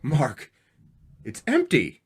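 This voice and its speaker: A man's voice: a little high, huge pitch swings, drawn-out words, slightly rough, full character voice. A man playing a loyal, reassuring friend and husband in old-time radio drama, speaking in short clipped phrases. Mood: startled.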